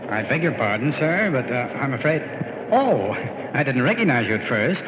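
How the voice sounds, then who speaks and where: An actor playing a polite, easily flustered gray-haired gentleman commuter in an old radio drama. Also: mid-range voice, sing-song, moderate pace. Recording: dull, noisy old radio recording.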